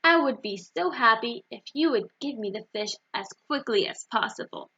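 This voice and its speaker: A woman's voice: on the high side, lively intonation, normal speed, bright, forceful tone, breathy edge, dull audio, exaggerated voice. A woman voicing a polite, earnest, forgetful little boy in a children's storybook.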